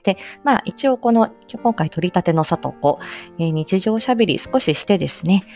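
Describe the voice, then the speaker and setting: A woman's voice: on the low side, expressive, fairly quick, a little mellow, faint music behind. A woman hosting a casual solo livestream chat, rambling along in a self-effacing, giggly manner. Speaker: livestream host, speech-language therapist.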